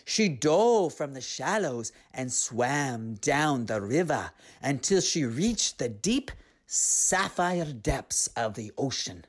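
A storyteller narrating and reflecting on a tale in an earnest, unhurried, reflective way. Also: medium pitch, big pitch swings.

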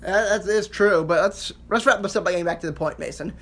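A man's voice: high voice, quick pace, fairly bright tone. An American man co-hosting a sports podcast, making his case in a casual back-and-forth debate.

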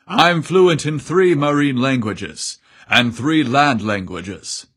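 A sultry, deep-voiced merman, as a narrator puts him on, courteous and eloquent in a storybook tale. His tone sits in an ordinary middle register with a husky, slightly breathy edge. He speaks with gracious formality. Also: slow pace, a bit bright.

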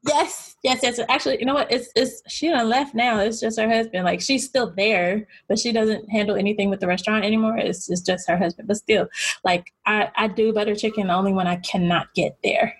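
A woman with a husky, slightly breathy voice hosting a podcast. She asks her guest questions in a playful, teasing way, with lots of quick agreeing sounds. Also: medium pitch, fairly even intonation. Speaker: podcast host. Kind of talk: casual interview chat.

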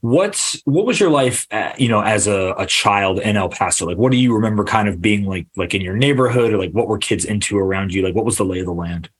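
A fairly low-voiced man hosting a casual podcast interview. He circles around his questions, restarting and padding them with "like" and "you know" before he gets to the point. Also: expressive, slightly rough. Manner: rambling, halting questions with many restarts and fillers. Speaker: podcast host.

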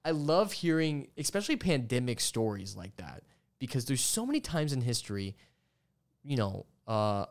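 A man's voice: medium pitch, big pitch swings, moderate pace, creaky. A man hosting a casual interview, speaking enthusiastically and often stammering over the first sounds of words. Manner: stammers, repeating sounds and syllables. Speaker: podcast host interviewing a guest.

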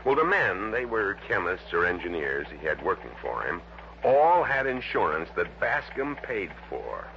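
American insurance boss briefing someone, matter of fact, old radio play, muffled with clear hiss. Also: male voice, low-pitched, very animated, measured pace, full character voice. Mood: businesslike briefing. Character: businesslike male insurance office man briefing an investigator. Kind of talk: 1960s radio drama acting. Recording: noisy, dull lo-fi old radio recording.

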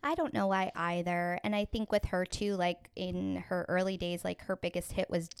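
Enthusiastic woman with a high, gravelly voice. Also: steady tone, moderate pace, dry recording. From Minnesota, USA. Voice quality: high-pitched voice. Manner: enthusiastic, agreeing, laughs often. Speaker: podcast co-host. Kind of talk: casual chat.

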